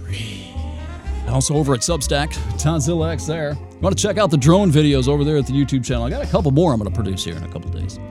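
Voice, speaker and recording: A man with a raspy voice hosting his own podcast, talking in a reflective, rambling way with frequent restarts. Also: a little high, big pitch swings, fast talker, over music. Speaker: podcast host. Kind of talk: solo podcast monologue.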